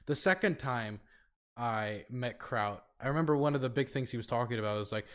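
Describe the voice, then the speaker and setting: American male host type, loose rambling delivery, mid pitch, keeps circling back with "like". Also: a little fast, smooth. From United States. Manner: rambling, many fillers and restarts. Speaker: podcast host. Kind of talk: casual podcast chat.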